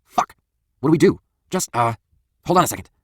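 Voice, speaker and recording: A man's voice: on the high side, expressive, fairly quick, dry recording, clean studio sound, voice pushed up. A man voicing a young street dealer, terse, casual and streetwise, in an audiobook story. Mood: tense, improvising.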